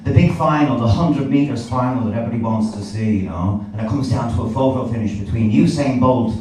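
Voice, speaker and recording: A man's voice: medium pitch, fast, mellow. An Irish man performing live stand-up comedy in a conversational, sweary storytelling manner. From Ireland. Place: indoor live comedy gig. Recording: echoey, noisy live club recording from a distance.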